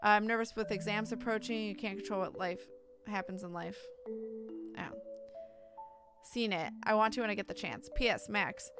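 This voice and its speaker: A woman game streamer with an expressive, irreverent manner, giving casual let's-play commentary and reading game text aloud. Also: medium pitch, moderate pace. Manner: expressive, irreverent, reacts bluntly. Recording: game music behind voice. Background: game music.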